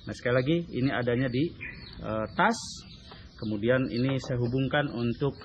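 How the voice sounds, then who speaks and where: Seorang pria berlogat Indonesia menjelaskan perlengkapan secara santai, agak tersendat dan sering menyelipkan penegasan pendek di ujung kalimat. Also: male voice, mid-range voice. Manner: explanatory, halting, often tags phrases with "ya". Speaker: amateur radio hobbyist and touring rider presenting his gear. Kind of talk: informal explainer and equipment demonstration. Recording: dull lo-fi sound with light background noise.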